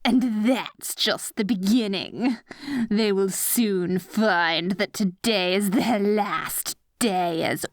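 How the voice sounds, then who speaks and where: A woman playing a dorky evil sorceress queen in an ASMR roleplay, petty but secretly soft-hearted, mixing mock-villain grandeur with awkward asides. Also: medium pitch, slow, dry recording, studio quality. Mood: gloating. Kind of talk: ASMR roleplay, addressing the listener directly.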